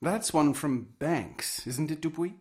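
Man playing an earnest, higher-voiced professor. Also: a little slow, a little mellow, natural voice. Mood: scholarly. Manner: pedantic, polite, hesitant. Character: earnest, scholarly male professor of Egyptology, mild and cautious. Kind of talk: radio drama acting.

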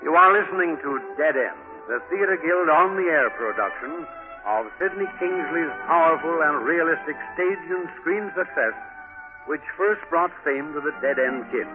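Old time radio announcer man, formal, slightly high voice, tinny w music. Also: expressive, normal speed.

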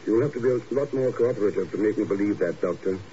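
Male actor as wry secret agent, 1950s radio drama. Rapid, dry delivery, mellow lowish tone, old muffled recording. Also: a little hiss or hum, natural voice. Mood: dry, probing. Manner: clipped, dryly skeptical. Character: wry, dogged American secret agent investigating a murder.

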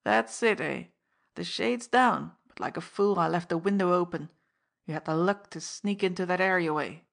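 A woman voicing a scheming older male diamond fence who snarls, speaking hoarsely and loudly. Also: on the low side, moderate pace. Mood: fierce. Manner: fierce, then wheedling.

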